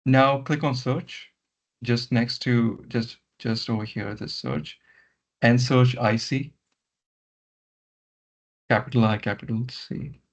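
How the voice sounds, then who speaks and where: A man giving step-by-step software training in an instructional way, often repeating his words, over an online meeting. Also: medium pitch, fairly even intonation, takes it slowly, pauses often. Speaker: software trainer leading a session. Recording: muffled lo-fi online meeting audio.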